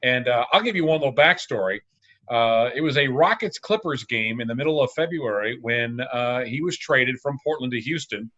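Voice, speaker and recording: A man in his forties, a sports radio announcer, telling animated anecdotes as a guest over a video call. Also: medium pitch, moderate pace. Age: 40s. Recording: dull, lo-fi video-call audio.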